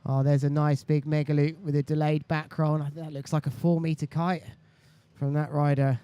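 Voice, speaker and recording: A man's voice: on the high side, level tone, moderate pace, dark tone, clear, resonant tone, dry recording, lo-fi sound. A man commentating on a live sports stream in a chatty, conversational way. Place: indoor live stream booth by a beach.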